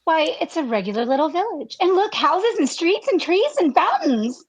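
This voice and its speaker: A woman playing an anxious, earnest farm girl in a comedic radio play, speaking in breathless, halting, repeated phrases. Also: very high voice, big pitch swings. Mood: bewildered.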